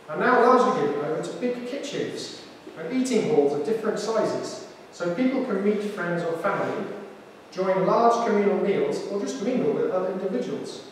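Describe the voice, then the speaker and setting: A man's voice: high-pitched, a little hiss or hum. A man voicing a chatty, time-travelling talking fridge computer, with a rich accent and a smug, explanatory manner. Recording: echoey hall from far off.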